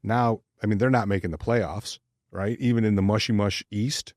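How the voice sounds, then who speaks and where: Man, sports podcast co-host. Lower-pitched, casual, blunt and wry, dry close sound with no room. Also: fairly even intonation, normal speed, darkish tone, dry recording.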